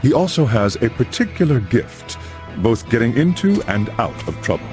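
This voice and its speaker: An actor playing a solemn middle-aged knight, a father narrating his family's saga in a grave, measured storyteller's manner for a game. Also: medium pitch, sing-song, music in the background, natural voice. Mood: grave storytelling. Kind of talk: dramatic scripted narration.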